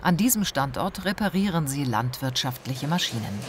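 A woman reading a scripted documentary voice-over in a calm, measured, informative way. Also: a bass voice, noisy background. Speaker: documentary narrator.

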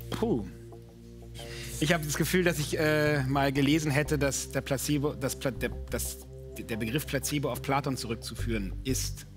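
A man's voice: medium pitch, fairly even intonation, moderate pace, music in the background. A man raised between Austria and Germany, a quiz contestant and actor, musing aloud in a hesitant, self-deprecating way. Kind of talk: casual chat.

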